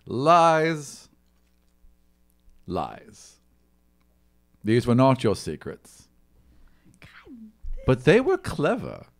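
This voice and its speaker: A sharp woman of a fantasy tale, voiced by a man running a game. She speaks in clipped, accusing phrases, as one passing judgment. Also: medium pitch, wildly swooping pitch, very slow, gravelly, pauses often, full character voice. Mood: cold accusation.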